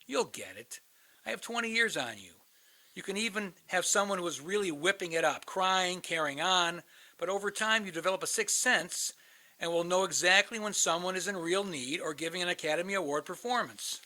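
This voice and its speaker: Guy acting jaded older hotline counselor, cynical and bitter, slightly high voice, radio play style. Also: brisk, sharp tone. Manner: world-weary, philosophizing.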